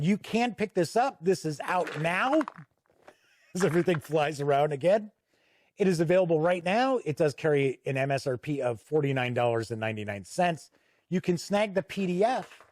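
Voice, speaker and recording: Higher-voiced man hosting a casual review. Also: a little mellow, no echo, studio quality. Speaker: tabletop game reviewer and channel host. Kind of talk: casual, unscripted video review.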